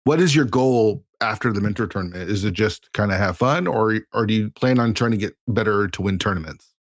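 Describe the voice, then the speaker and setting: A man hosting a casual podcast interview, relaxed and encouraging, often repeating words. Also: on the low side, a little fast, no echo. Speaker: podcast host.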